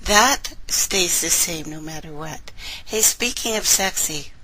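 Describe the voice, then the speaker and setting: A woman with a soft, husky voice hosts a live call-in internet show, chatting informally and speaking straight to her listeners. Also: low voice, animated, takes it slowly, rough recording. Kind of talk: casual live show chat.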